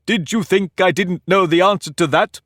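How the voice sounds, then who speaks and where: A man's voice: sits high, moderate pace, fairly bright tone, dry recording, clean studio sound, voice pushed up. A man voicing a pompous, touchy talking egg in a storybook reading, boastful and argumentative. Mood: haughty. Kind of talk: audiobook character reading.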